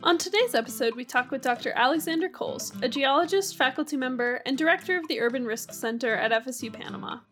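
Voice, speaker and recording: A woman's voice: sits high, fast, hardly any pauses, fairly bright tone, faint music behind. A young woman with an American accent hosts a podcast, introducing topics and putting questions to a guest. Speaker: podcast co-host. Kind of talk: podcast hosting.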